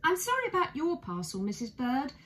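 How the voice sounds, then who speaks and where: A woman's voice: high voice, wildly swooping pitch, a little fast, a bit bright, voice pushed up, heavily acted. A woman voicing an earnest, polite, apologetic young bear in a children's storybook reading. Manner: earnest, courteous, eager to please.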